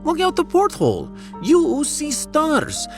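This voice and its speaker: A man's voice: extremely high pitch, huge pitch swings, slow, soft background music, heavily acted. A man playing a fretful, self-doubting, dreamy scientist with a Russian accent in a theatrical sci-fi audio drama. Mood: dreamy, awed.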